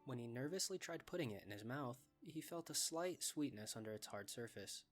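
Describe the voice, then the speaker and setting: A man's voice: mid-range voice, animated, fairly quick, faint music behind. A man reading scripted story narration as the narrator of a fan dub.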